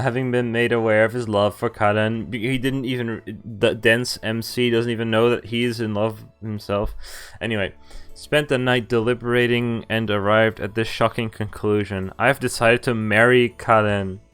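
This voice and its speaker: Clear-voiced man reading a game aloud. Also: medium pitch, fairly even intonation, moderate pace, a bit bright, faint music behind. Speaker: game streamer. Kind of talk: reading a visual novel aloud with casual commentary.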